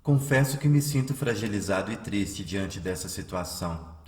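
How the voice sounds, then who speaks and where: An actor playing a calm, humble, pious male healer in a radio drama, speaking in a measured, earnest way with a Brazilian accent. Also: lowish voice, lightly acted. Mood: calm, resolute. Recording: roomy echo, music underneath.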